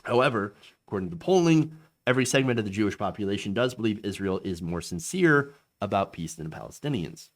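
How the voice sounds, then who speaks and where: A man gives casual political commentary on his own show. His pitch moves around a lot, and he keeps stopping on fillers and restarting his sentences. He sets his views apart from the quotes he reads. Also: expressive, fairly quick.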